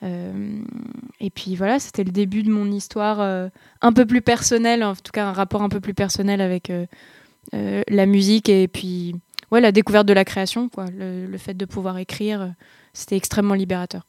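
A young woman talks through her musical path in a hesitant, searching way, full of pauses and restarts. Her voice sits at an average pitch. The sound is dry and close, with no room around it. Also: level tone, creaky, darkish tone, no room sound. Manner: hesitant, many fillers and restarts. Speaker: singer-songwriter guest. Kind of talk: casual interview answers.

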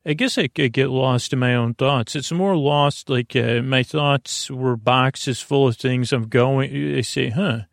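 A man with a creaky voice hosting a sleep podcast, lulling and soothing as he meanders haltingly through a rambling bedtime monologue. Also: medium pitch, dry recording, studio quality. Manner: meandering, halting, repeats and drifts off topic. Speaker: podcast host.

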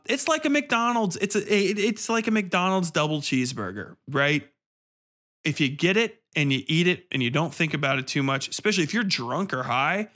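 A man with a bright, forceful voice at a middling pitch hosts a casual review show. He rambles sarcastically and swears freely, hammering some phrases for emphasis. His delivery is irreverent and conversational. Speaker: podcast host reviewing a film. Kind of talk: casual, profane film review monologue.

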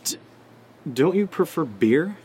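An actor playing a wary, exasperated and sarcastic male housemate with a husky voice, in naturalistic audio drama dialogue, hesitant and given to swearing. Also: fairly high pitch, big pitch swings, slow pace, darkish tone, a little hiss or hum. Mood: uneasy. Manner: hesitant, sardonic, profane.

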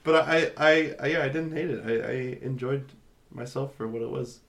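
Regular guy voice, medium pitch, blunt casual podcast chat about movies. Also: normal speed, vocal fry. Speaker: podcast co-host.

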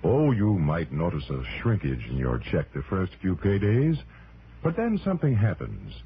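A man's voice: low-pitched, huge pitch swings, normal speed. A man announcing a scripted public service message in the style of 1960s radio. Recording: dull old radio recording, faint hiss.

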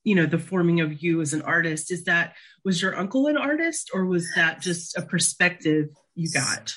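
A woman's voice: fairly low pitch, animated, moderate pace. A woman hosting a radio arts program, introducing her guest and asking questions as an attentive interviewer. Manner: attentive interviewer, soft affirming murmurs. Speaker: radio show host.

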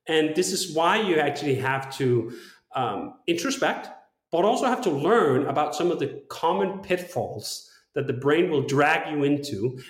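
Male interview guest, slightly high pitch, reflective and emphatic conversational delivery, roomy sound. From Denmark. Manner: philosophical, thinking aloud, emphatic. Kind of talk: reflective long-form conversation.

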